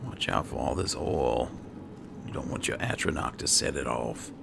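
A man's voice: rumbling low, lively intonation, normal speed, creaky, background noise. A man giving casual gameplay commentary, musing to himself and his in-game companions as he roleplays. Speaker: gaming video host.

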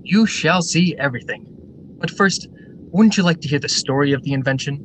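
An actor playing a brisk, eager factory general manager in a radio drama, formal and articulate. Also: male voice, lightly acted. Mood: courteous. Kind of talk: stage-style radio drama acting. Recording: noisy radio drama recording, steady rumble.

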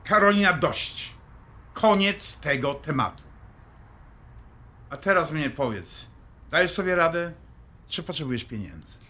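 A man's voice: fairly high pitch, lively intonation, a little slow, long pauses, pushed and bright. An actor playing a stern, middle-aged father in an audio drama, blunt, commanding and dismissive. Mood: stern, irritated.

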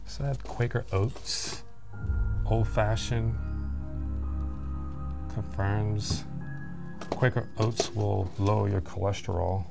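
A man's voice: fairly low pitch, fairly even intonation, takes it slowly, gravelly, pauses often, music in the background. A man casually wondering aloud about what he finds while exploring an abandoned factory. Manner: laid-back, wondering aloud, rambling. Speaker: urban explorer host. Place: abandoned factory building, indoors.

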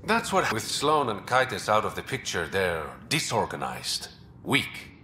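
An actor playing a suave, wry smuggler and secret crime boss in a game, teasing and self-assured. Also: male voice, on the low side, sing-song, measured pace, sharp tone, slight background noise, full character voice. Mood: relaxed, confiding. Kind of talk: cinematic game dialogue acting.

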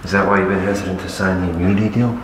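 An actor playing a calm adult investigator who gently coaxes a young witness. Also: male voice, low-pitched, very fast, close to an everyday voice. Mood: coaxing. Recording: echoey, distant, noisy.

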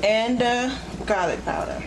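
A woman with a fairly high voice talks through a recipe as a home cooking host, instructing plainly one step at a time. She is recorded in a kitchen with strong room echo and clear cooking noise around her voice. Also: unhurried. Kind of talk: hands-on cooking demonstration narration. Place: indoors, home kitchen at the stove. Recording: echoey kitchen, loud sizzle and stove noise.

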